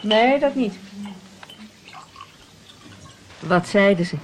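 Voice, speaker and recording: A man's voice: sits high, lively intonation, normal speed. A man playing a gossipy, pious country innkeeper in a radio play, with a folksy, insinuating way of talking. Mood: confiding. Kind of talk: radio drama acting. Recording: radio play with clear background noise.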